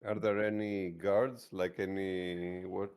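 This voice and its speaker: A man's voice: low-pitched, little pitch movement, slow, a little mellow, clear, resonant tone. A man playing a tabletop role-playing game, chatting casually with the group.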